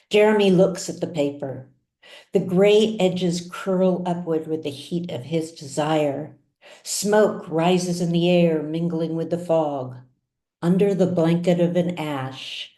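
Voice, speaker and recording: A woman reading her own fiction aloud as scripted audiobook narration, with measured, deliberate phrasing and dramatic pauses. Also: low voice, mellow, echoey room. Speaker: author reading her own serialized novel.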